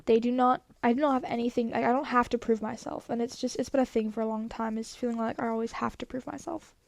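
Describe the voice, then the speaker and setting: A woman's voice: a little high, fairly even intonation, fast talker, vocal fry. A teenage girl telling a story in casual chat, rambling with lots of "like", slightly congested from a cold. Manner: rambling, many "like" fillers. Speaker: vlogger.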